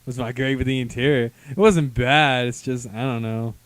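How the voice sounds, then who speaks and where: A man co-hosting a casual review chat, speaking haltingly as he searches for his words. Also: mid-range voice, a little hiss or hum. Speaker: comic reviewer, co-host.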